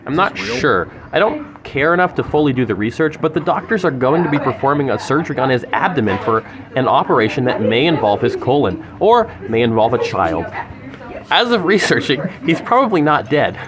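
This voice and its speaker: Young male podcast host, mock-formal and animated, loud restaurant chatter behind. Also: medium pitch, a little fast. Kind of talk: mock news anchor banter. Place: sushi restaurant.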